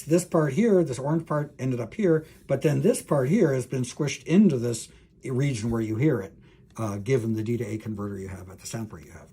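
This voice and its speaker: A man's voice: mid-range voice, warm tone, breathy edge. A man lecturing as a professor, explaining technical material informally, thinking aloud with frequent hesitations and self-corrections and speaking directly to the listener.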